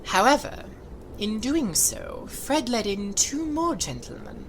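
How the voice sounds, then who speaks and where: A woman narrating a classic story in an expressive, measured way. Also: on the high side, very noisy.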